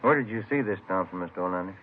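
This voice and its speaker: Through a narrow old radio recording with faint noise comes a man acting a wry police detective. His voice is fairly low and his delivery conversational, in the manner of a 1950s crime drama. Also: rapid-fire, lightly acted. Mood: businesslike. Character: wry, grumbling male police detective partner and family man.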